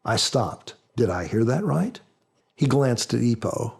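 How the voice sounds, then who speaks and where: A man reading scripted first-person audiobook narration in a measured storytelling manner. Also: medium pitch, big pitch swings, vocal fry, slightly rough. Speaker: author narrating his audiobook.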